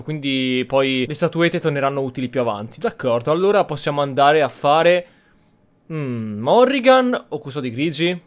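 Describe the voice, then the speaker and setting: A man hosting a gaming channel, giving let's play commentary. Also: a little high. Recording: faint game ambience behind commentary. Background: game sound.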